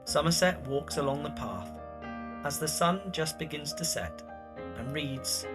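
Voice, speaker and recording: A man's voice: on the high side, expressive, measured pace, over music. A man narrating the scenes of an audio drama from a script.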